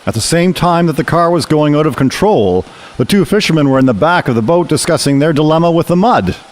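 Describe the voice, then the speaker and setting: A man's voice: a little high, animated, a little fast, soft background music. A man narrating a scripted radio drama as storyteller.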